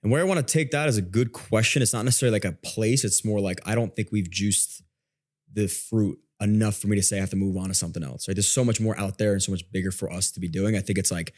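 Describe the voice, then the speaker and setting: A man's voice: lowish voice, fast talker. A man chatting casually as a podcast guest, telling stories informally and often interrupting himself. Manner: informal, self-interrupting storytelling. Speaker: podcast guest, online content creator. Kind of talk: casual chat.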